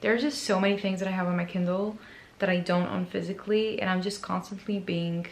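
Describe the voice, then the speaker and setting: Woman book vlogger, hoarse and gravelly today, chatty and self-mocking, echoey room. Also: fairly low pitch, steady tone, a little fast, slight background noise. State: hoarse, raspy voice from screaming. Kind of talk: casual book chat to camera.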